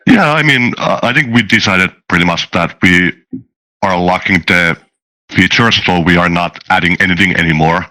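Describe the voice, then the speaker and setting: Deep, bright-toned man, halting delivery. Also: poor audio. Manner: halting, careful, hedged explanations. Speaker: game developer on a panel. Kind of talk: casual discussion.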